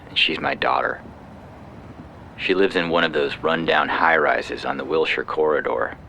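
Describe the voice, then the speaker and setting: Man acting a very deep, gravelly, callous official. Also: sharp tone, slight background noise, full character voice. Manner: cold, officious, matter-of-fact. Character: callous, self-important male war secretary reporting an accident. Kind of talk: audio fiction reading as a phone call.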